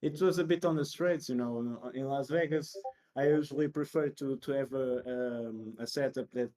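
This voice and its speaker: Portuguese man, halting English. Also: mid-range voice, warm tone. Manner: halting, repeats words, searching for English. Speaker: interviewed sim racer. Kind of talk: casual interview. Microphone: voice chat call.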